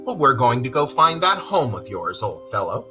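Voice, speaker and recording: A man's voice: mid-range voice, big pitch swings, moderate pace, over music, close to an everyday voice. A man voicing a kindly, decisive storybook rat, a loyal chum with a hearty, old-fashioned way of talking. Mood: pleasant, brisk. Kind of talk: storybook read-aloud character voice.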